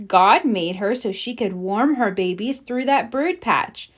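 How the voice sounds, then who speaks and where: A woman voicing a calm, devout grandmother in a storybook, patiently explaining and reassuring. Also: medium pitch, unhurried, close to an everyday voice. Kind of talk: storybook read-aloud character voice.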